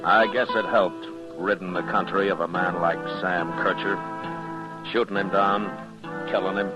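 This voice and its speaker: Actor as a deep-voiced, weary frontier marshal. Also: male voice, fairly even intonation, close to an everyday voice. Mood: grim, weary. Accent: American. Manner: terse, measured, grave. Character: weary, stern, plain-spoken male frontier marshal. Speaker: actor and narrator in a radio western. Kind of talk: old-time radio western acting. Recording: dull vintage radio recording with music.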